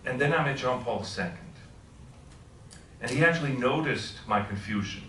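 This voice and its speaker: A middle-aged man from Switzerland speaks as a visiting professor and keeps the talk informal with questions to his audience. His voice is bright and carries forward. The room is echoey and the mic sits some way off, with a faint hiss underneath. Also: medium pitch, expressive, normal speed, distant mic. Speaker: guest speaker. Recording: echoey room, voice heard from afar.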